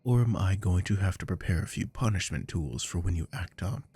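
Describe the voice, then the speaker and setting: A man acts out a dominant, possessive boss in an ASMR roleplay. His voice is very low and gravelly, husky at the edges. He coaxes and commands in a smooth, teasing murmur that slips toward whispers. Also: a little fast, warm tone, exaggerated voice. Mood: smug, coaxing. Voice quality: deep, gravelly. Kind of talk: intimate ASMR roleplay to the listener.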